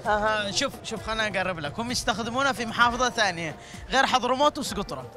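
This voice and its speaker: A man's voice: sits high, racing. A man with a Yemeni accent hosting a street quiz, playfully teasing passers-by into guessing. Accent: Yemeni Arabic. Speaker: host. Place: outdoor street in a town. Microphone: handheld mic.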